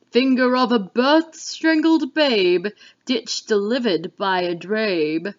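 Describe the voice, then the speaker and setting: A woman's voice: on the high side, muffled recording. A woman playing a sinister witch who recites a cauldron spell in rhythmic chant, in a dramatic Shakespeare reading.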